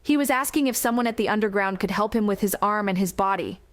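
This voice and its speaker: A woman's voice: medium pitch, quick pace, dry recording, clean studio sound, natural voice. A woman voicing an earnest, caring, determined young sister and soldier in an audiobook. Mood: earnest, pressing.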